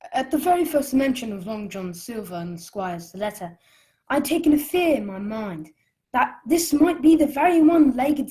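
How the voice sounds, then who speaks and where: Earnest boy adventurer voiced by a woman, fairly high voice, very lively intonation, radio play narration. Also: poor audio. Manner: earnest, storytelling. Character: earnest young cabin boy recalling his adventure.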